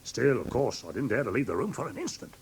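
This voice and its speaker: An actor playing a fussy, aggrieved, simple-minded London shopkeeper with an English accent in a radio drama, halting and deferential as he talks. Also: mid-range voice, big pitch swings, slight background noise. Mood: plaintive. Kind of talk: classic British radio drama acting.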